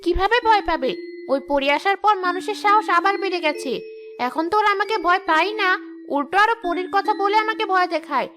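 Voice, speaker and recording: A woman's voice: very high voice, a little fast, background noise. A woman voicing a brash, greedy village ghost in a cartoon, with a blunt, grumbling, boastful manner. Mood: bitter, self-pitying. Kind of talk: animated cartoon dialogue.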